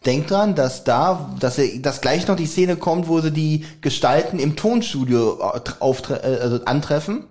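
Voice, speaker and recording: A man's voice: a little high, expressive, normal speed, hardly any pauses, a little mellow. A man co-hosting a casual podcast, teasing his partner, cutting in often and pointing to his own notes. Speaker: podcast co-host. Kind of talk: casual chat.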